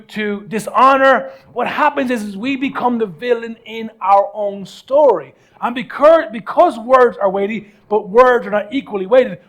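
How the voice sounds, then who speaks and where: High-voiced male preacher, emphatic delivery. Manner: emphatic, repeats phrases for weight. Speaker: pastor preaching. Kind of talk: conversational motivational sermon.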